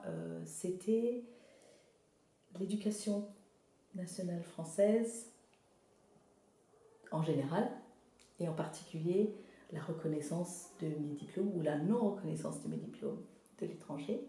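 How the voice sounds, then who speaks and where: A woman from Zimbabwe whose first language is English, an art therapist speaking hesitantly in a casual interview, often pausing to search for words. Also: lowish voice, vocal fry, warm tone, heavy reverb. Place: studio workshop.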